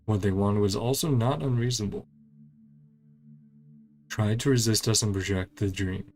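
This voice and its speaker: A man's voice: lowish voice, normal speed, a little mellow, faint music behind. A man doing casual playthrough commentary on a video game, speaking in clipped fragments and murmured reactions. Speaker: gaming channel host.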